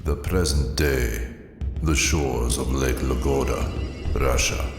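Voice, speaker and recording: A man playing an ominous narrator who solemnly sets the scene, pitched down, with heavy reverb and music behind. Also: steady tone, slow, exaggerated voice. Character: ominous booming male narrator setting date and place. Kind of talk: scripted narration.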